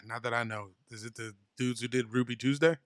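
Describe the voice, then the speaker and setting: A man's voice: medium pitch, fairly even intonation, normal speed, a bit bright. A man co-hosting a podcast in casual comedic banter, riffing playfully. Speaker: podcast co-host.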